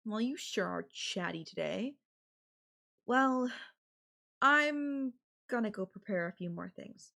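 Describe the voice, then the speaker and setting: A woman's voice: medium pitch, expressive, gravelly, sharp tone, heavily acted. A woman playing a possessive, sarcastic and menacing yandere girlfriend in an audio roleplay, talking with sweet condescension. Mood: calm, sweet. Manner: slow, sarcastic, sweetly condescending with veiled threats. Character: possessive, menacing yandere girlfriend holding her partner captive. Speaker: voice actor.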